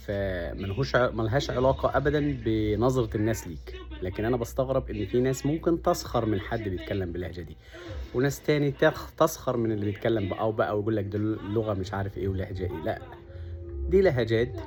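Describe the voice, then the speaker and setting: A man's voice: on the low side, quick pace, darkish tone, faint music behind. A man from Upper Egypt with a Sa'idi accent, talking earnestly to camera and laying out his points one by one. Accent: Upper Egyptian (Sa'idi) Arabic. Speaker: vlogger. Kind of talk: casual monologue to camera.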